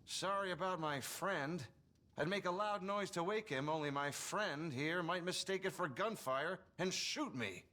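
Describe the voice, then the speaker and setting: An actor playing an earnest, nervous Old West biographer in a western game, with a wordy, pleading manner. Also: male voice, high voice, unhurried, bright, forceful tone. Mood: earnest, flustered. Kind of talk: western video game acting.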